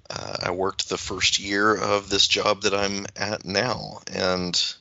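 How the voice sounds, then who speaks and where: Bright, forceful, very low-voiced man. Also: no room sound, muffled recording. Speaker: podcast co-host and teacher. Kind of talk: casual conversation.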